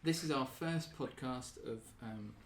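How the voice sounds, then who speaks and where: A man hosting a group talk in a meeting room, asking gentle questions with many hesitations and ums. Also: mid-range voice, a little slow, slight background noise. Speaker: group facilitator and podcast host. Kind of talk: casual group discussion. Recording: roomy echo.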